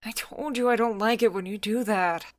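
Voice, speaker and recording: A woman's voice: mid-range voice, normal speed, no room sound, pitched above the performer's own voice, close to an everyday voice. A woman voicing a sarcastic, anxious young transfeminine friend, sceptical and a little whiny, in an audio story.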